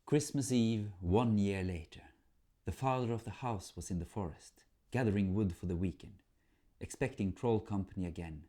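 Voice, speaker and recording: A man narrating a folk tale as a storyteller, in a theatrical, measured manner. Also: fairly low pitch, warm tone.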